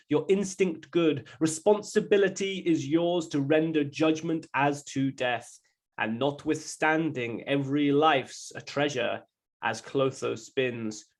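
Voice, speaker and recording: A man speaks as a guest reader at a poetry event. His delivery is slow and measured, suited to reciting verse, with a medium-pitched voice.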